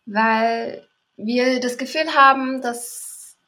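A woman's voice: a little high, very slow, pushed and bright. A twenty-seven-year-old woman from Berlin, a podcast guest, talking in a cheerful, somewhat hesitant way. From Berlin, Germany. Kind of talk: casual interview talk.

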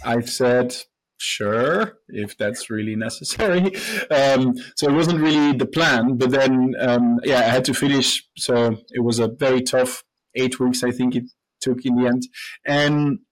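A man from Belgium in his thirties speaks as an interview guest, recounting his path in a relaxed, conversational way. He pauses often, uses fillers and restarts sentences freely. His voice sits at an ordinary middle pitch for a man. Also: rough recording. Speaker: guest, professor and company co-founder.

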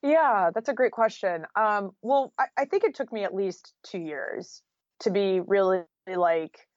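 A woman's voice: medium pitch, measured pace, no room sound, muffled recording. An American woman talking casually and candidly as an interview guest, with an informal style full of "like" and "you know". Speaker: guest, freelance journalist.

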